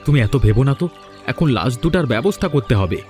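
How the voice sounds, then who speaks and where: A man's voice: medium pitch, expressive, fairly quick, a little mellow, natural voice. A man voicing an anxious, scheming village man in a cartoon fairy tale. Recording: background music under dialogue.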